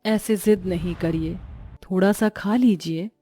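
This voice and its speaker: A woman playing a gentle, worried, patient mother and wife in a moral-story cartoon. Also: lowish voice, unhurried, breathy edge, faint music behind. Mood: caring.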